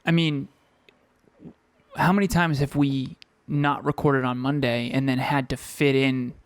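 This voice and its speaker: A man co-hosting a podcast in casual chat, talking reflectively and filling pauses with um, recorded at home with a fan running. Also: medium pitch, level tone, clean tone, no room sound. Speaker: podcast co-host.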